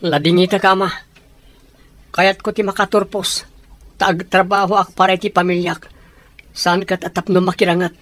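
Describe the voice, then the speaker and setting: An actor playing an earnest, peace-seeking son who pleads and reasons, in an Ilocano Filipino radio drama. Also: male voice, sits high, flat delivery, normal speed, pauses often, fairly bright tone, no echo. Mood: sorrowful, determined.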